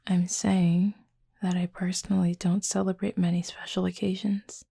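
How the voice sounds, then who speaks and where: A woman playing a reserved, gently teasing cupid roommate in an intimate audio roleplay, speaking softly and breathily in a dry, measured way. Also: lowish voice, little pitch movement, vocal fry, slightly rough. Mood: reserved, thoughtful. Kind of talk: intimate roleplay audio drama, addressing the listener.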